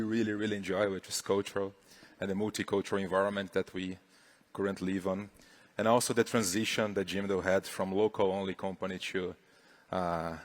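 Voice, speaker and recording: A middle-aged Brazilian man with a Brazilian Portuguese accent, giving a chatty, upbeat conference talk on stage. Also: sits low. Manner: upbeat, chatty, filler-heavy. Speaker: conference speaker.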